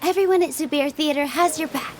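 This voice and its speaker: A woman voicing a warm, encouraging young female dancer in a game, speaking gently and without hurry. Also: sits high, brisk, soft background music, lightly acted.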